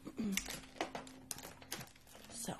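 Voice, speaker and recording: Young woman whispering ASMR roleplay. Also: extremely slow. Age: young adult. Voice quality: breathy whisper. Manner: playful, chatty, repeats words. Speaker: ASMR performer playing a doctor. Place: small room at home. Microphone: large desk microphone, close.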